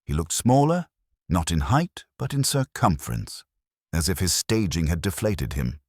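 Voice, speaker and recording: Very deep-voiced male mystery narrator. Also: sing-song, normal speed, no echo, clean studio sound. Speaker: audiobook narrator. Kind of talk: scripted first-person detective story narration.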